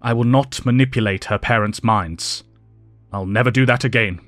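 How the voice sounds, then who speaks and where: A man playing a grave, principled headmaster in an audio drama, formal and measured. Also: mid-range voice, fairly bright tone, no echo, soft background music, close to an everyday voice. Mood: grave, regretful.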